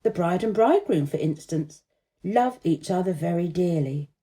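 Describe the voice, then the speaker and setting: A woman's voice: fairly low pitch, animated, moderate pace, warm tone, close to an everyday voice. A woman voicing a big, opinionated male Roman candle firework in a storybook, pontificating emphatically. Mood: assertive.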